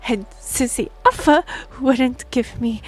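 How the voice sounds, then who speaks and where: A woman's voice: high-pitched, slow pace. A woman playing an earnest, easily flustered young half-elf royal liaison in a fantasy audio roleplay, with a stammering, pleading manner. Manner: breathy sighs, stammering, pleading.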